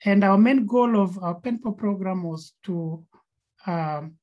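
A woman's voice: mid-range voice, warm tone, muffled recording. Kenyan woman presenting on a video call. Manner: halting, with many fillers and repeated words. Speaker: co-founder presenting a nonprofit.

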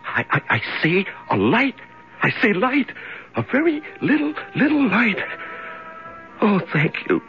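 An actor playing a lonely, desperate prisoner in a radio drama, muttering haltingly to himself and repeating his words. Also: sits high, huge pitch swings, soft background music, heavily acted. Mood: awed, excited. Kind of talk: 1970s radio drama acting. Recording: muffled 1970s radio recording.

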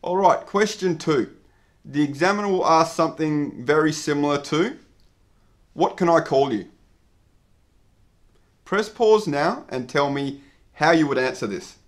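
A man, explaining an English exam lesson to camera at a clear, measured pace. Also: a little high, expressive. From Australia. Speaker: English teacher. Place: indoor studio with dark backdrop.

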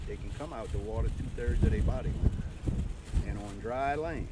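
A man with a medium-pitched voice leads an informal guided tour outdoors. His way of talking is folksy and plain-spoken. Loud wind and boat noise cover the recording, picked up by a camera a little way off. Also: moderate pace. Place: outdoors on an open airboat in a marsh. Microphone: camera mic a few metres away.

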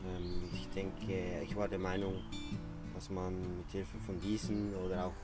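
A man's voice: low-pitched, flat delivery, loud music behind the voice. A man in his twenties from Switzerland with a Swiss accent, giving casual, hesitant interview answers outdoors. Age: 20s. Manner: hesitant, measured, halting phrasing. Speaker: interview guest, pilgrim. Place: outdoors on a field path and at a garden table.

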